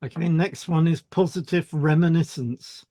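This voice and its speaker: A man's voice: on the high side, steady tone, moderate pace, mellow, no room sound, studio quality. A man co-hosting a podcast, reading explanatory script and introducing topics with short conversational links. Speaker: podcast co-host, hypnotherapist.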